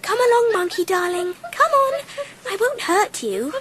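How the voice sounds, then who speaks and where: A woman playing a gentle, well-spoken young schoolgirl with a British accent and a light, youthful voice in an audiobook drama. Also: extremely high pitch, big pitch swings, unhurried, long reverb tail, slight background noise, full character voice. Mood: tender, delighted. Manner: well-spoken, sweet, earnest. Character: gentle seven-year-old schoolgirl, tender, polite, fond of animals.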